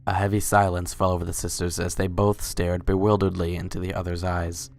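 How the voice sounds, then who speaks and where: A man's voice: deep voice, level tone, fairly quick, vocal fry, soft background music. A man reading scripted story narration as the narrator of a dramatic reading.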